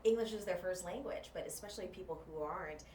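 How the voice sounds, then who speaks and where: A woman's voice: on the low side, fast. A woman from Thailand, a journalist and media trainer, chatting casually as a guest in an interview and talking with lots of fillers. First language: Thai. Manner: animated, chatty, many fillers. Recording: roomy, distant mic, noisy.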